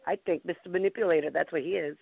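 A woman hosting a casual call-in show, excitable and quick to laugh and joke with callers. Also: lowish voice, creaky, husky, no echo. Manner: excitable, laughs often, jokes. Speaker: talk show host. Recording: phone-like lo-fi line.

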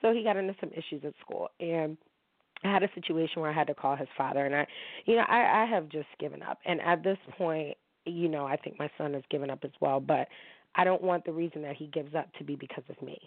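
A woman's voice: deep voice, fast talker, dry recording. Drained woman radio host on a phone line. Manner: candid, emotional, talking straight to listeners. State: emotionally drained. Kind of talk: casual monologue. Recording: dry, tinny phone-line sound.

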